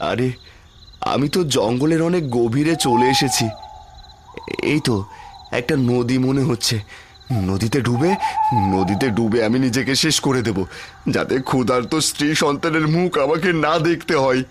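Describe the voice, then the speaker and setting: A man's voice: mid-range voice, normal speed, pauses often, noisy background, close to an everyday voice. A man playing a poor village street vendor and father in a cartoon, with a pleading, plaintive manner. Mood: despairing.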